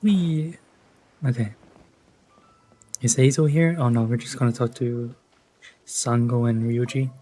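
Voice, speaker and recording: Slow, mellow man streaming a game. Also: medium pitch, fairly even intonation, rough recording. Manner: laid-back, slangy. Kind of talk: casual play-along commentary. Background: game music.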